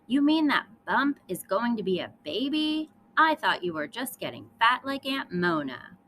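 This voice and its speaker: Lady doing a bratty jealous big sister kid, dramatic n emphatic, pitch all over, bit of noise behind. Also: sing-song, fairly bright tone. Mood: indignant. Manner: emphatic, dramatic, drawn-out declarations. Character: jealous, bossy young girl, defiant big sister.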